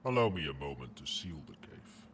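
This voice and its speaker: A man voicing a proud, possessive dragon with formal, haughty, measured speech in an ASMR roleplay. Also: rumbling low, big pitch swings, fairly bright tone, a little hiss or hum, full character voice. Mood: commanding, proud. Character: proud, imperious male dragon, possessive but grudgingly protective.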